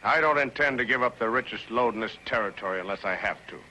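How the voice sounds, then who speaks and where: An actor playing a ruthless, calculating outlaw boss in a 1950s TV western, blunt and commanding, with rustic speech. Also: male voice, lowish voice, fast, sharp tone, slight background noise, poor audio. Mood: firm, scheming. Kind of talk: 1950s television western acting. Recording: old 1950s TV soundtrack with music.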